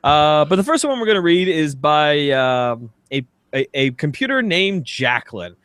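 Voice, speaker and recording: A man's voice: a little high, big pitch swings, moderate pace, fairly bright tone, clean tone, no echo, studio quality. A man hosting a comedy podcast, bantering casually and teasingly and reading things out for laughs. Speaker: podcast host.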